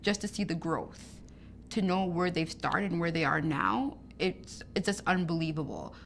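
A woman's voice: fairly low pitch, moderate pace, creaky, slight background noise. A young woman from Canada speaking as a student in a documentary interview. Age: young adult. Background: loud music.

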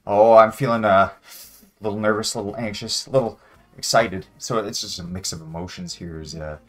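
A man's voice: on the low side, steady tone, a little fast. A man in his twenties playing a game and thinking aloud in a hesitant, musing, casual way. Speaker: guest player, beginner. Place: small home room indoors.

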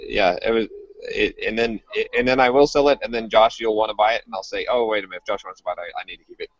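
Guy on a phone call podcast, stop-start talker, bit low, dry muffled sound. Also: dry recording. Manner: halting, repeats himself. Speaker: podcast co-host. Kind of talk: casual panel chat. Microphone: earphones on a phone video call.

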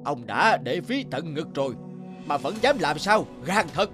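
A blustering, indignant cartoon gent. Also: male voice, on the high side, very animated, a little slow, over music. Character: blustering indignant man guarding his wallet. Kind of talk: children's cartoon dialogue.

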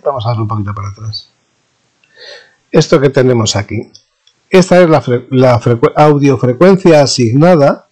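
A man's voice: medium pitch, a little mellow. A man with a mid-range voice talks through a practical technical demonstration. His delivery is informal and explanatory, like an instructor at work. He often halts and rephrases himself as he goes. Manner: halting, self-correcting, explanatory. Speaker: radio communications instructor.

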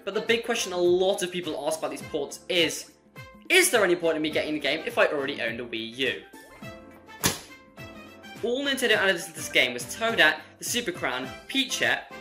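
A young man giving a scripted comedic game review in a sarcastic, mocking way. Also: fairly high pitch.

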